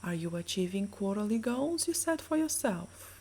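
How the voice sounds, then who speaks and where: A woman with a soft, breathy voice narrating a scripted guided sleep meditation in a soothing, measured, gently instructing way. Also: medium pitch, sing-song.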